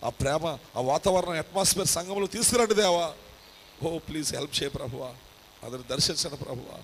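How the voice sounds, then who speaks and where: A man prays aloud at a rapid clip, his voice sitting a little higher than most men's. He swells into loud, climbing cries and then sinks to a pleading murmur. Faint room ambience hums under the live church stream. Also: a little hiss or hum. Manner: repeats an address to God at the end of each line, surging loud. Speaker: pastor leading prayer. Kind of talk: fervent intercessory prayer.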